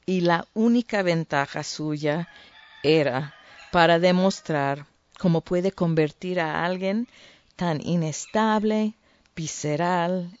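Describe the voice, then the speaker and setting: A woman with a Mexican Spanish accent preaching earnestly to a women's group, with frequent fillers and the odd throat-clear. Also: lowish voice, a little mellow, dry recording, lo-fi sound. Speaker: women's ministry speaker.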